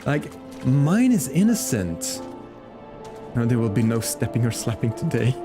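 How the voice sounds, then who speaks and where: A male streamer with a mid-pitched voice that moves around with lively intonation. He talks casually and playfully to his viewers while commenting on the game he plays. Music plays clearly behind him. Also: measured pace.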